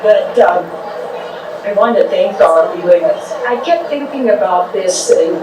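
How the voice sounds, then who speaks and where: A woman's voice: mid-range voice, animated. Older woman giving a heartfelt speech in an echoey hall. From Trinidad, Colorado. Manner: ad-libbing, nostalgic, halting. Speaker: community speaker at a public event. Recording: echoey hall, distant mic, background noise.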